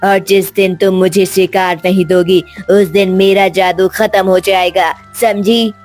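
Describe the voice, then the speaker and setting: A woman's voice: medium pitch, little pitch movement, a little slow, darkish tone, faint music behind, close to an everyday voice. A woman voicing a stern, scolding female ghost who drives hard bargains in a cartoon story. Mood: stern, bargaining. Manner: scolding, demanding.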